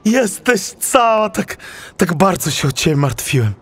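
A man's voice: high voice, very animated, measured pace. A man voicing a lovestruck, eager young male seal in a children's audiobook, with an earnest, emotional delivery. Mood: relieved, overjoyed. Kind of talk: audiobook character acting.

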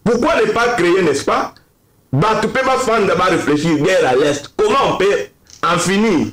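A Congolese man makes his case on a televised panel. His voice is fairly high for a man, with strong room echo on it. He is earnest and deliberate, building his argument with rhetorical questions. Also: animated, fairly bright tone. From Democratic Republic of the Congo. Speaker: political debate panelist. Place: TV studio round table. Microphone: desk microphone. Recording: echoey studio sound.

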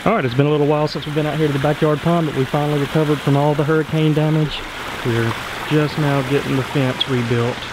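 A man's voice: a little high, steady tone, quick pace. A man hosting a vlog, narrating in a casual, folksy, informal way. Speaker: vlog host. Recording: noticeable background noise, outdoor ambience.

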